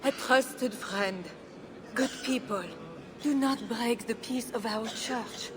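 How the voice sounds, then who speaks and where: Medieval queen for a game drama: measured, pious, compassionate, formal, set against clear background noise. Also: on the high side. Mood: calm authority. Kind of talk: dramatic video game acting.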